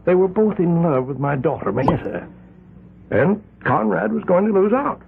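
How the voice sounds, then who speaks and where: An actor playing an agitated, wealthy older vigilante leader in an old-time radio drama, an American man with a halting, emphatic, self-justifying delivery. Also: sits high, sing-song, creaky, slight background noise, full character voice. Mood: uneasy, pleading. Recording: muffled, narrow-band old radio recording.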